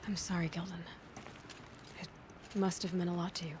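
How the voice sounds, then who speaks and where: A woman's voice: lowish voice, flat delivery, normal speed, noisy background, lightly acted. A woman playing a capable young video game heroine, practical, modest and matter-of-fact. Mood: gentle, understated. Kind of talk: video game cutscene acting.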